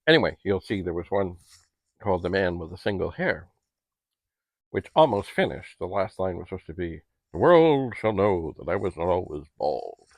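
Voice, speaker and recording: A man's voice: sits low, sing-song, moderate pace, no echo. A middle-aged man talking casually to camera in a rambling, chatty, politely old-fashioned way, as a home vlogger. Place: basement at home.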